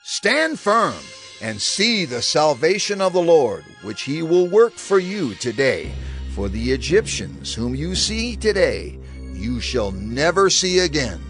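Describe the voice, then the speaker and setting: A man's voice: fairly high pitch, very animated, takes it slowly, a bit bright, exaggerated voice. A man playing a resolute, reassuring prophet leader in a scripture audio drama, firm and declamatory. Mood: resolute reassurance. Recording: music bed behind voice.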